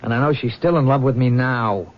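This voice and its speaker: An actor playing an earnest young soldier in love in a radio drama, speaking haltingly and eagerly. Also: male voice, medium pitch, heavy background noise, close to an everyday voice. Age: young adult. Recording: muffled old radio broadcast.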